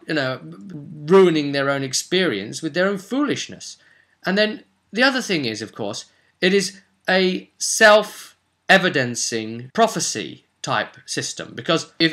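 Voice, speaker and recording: Bright-voiced man explaining game rules emphatically. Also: mid-range voice. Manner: emphatic, opinionated, conversational. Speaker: host of a tabletop role-play tutorial series. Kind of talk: informal explanatory monologue with read-out rules.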